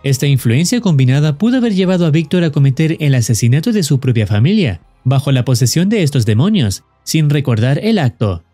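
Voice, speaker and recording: A man giving scripted explanatory narration as a horror video narrator, measured and formal. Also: on the high side, very animated, mellow, slightly rough. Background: background music.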